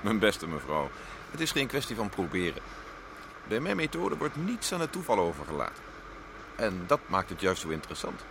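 A man's voice: huge pitch swings, normal speed, gravelly, heavily acted. An actor playing a smug, sinister stranger in a radio drama, courteous, self-assured and insinuating as he confides. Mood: smugly confiding. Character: smug, sinister male stranger confiding a secret murder method. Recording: noisy recording with vehicle rumble. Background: train ride noise.

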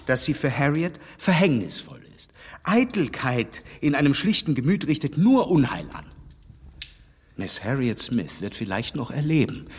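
An actor playing a stern gentleman who lectures a young woman in a radio play, speaking firmly and reproachfully. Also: mid-range voice, husky, big-room sound. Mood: lecturing. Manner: firm, reproachful, emphatic.

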